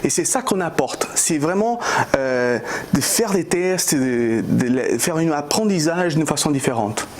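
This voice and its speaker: A man's voice: a little high, lively intonation, measured pace, a little hiss or hum. A man in his thirties, a startup CEO from Portugal, answering interview questions in a hesitant way with a Portuguese accent and many restarts. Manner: hesitant, many fillers and restarts, non-native phrasing.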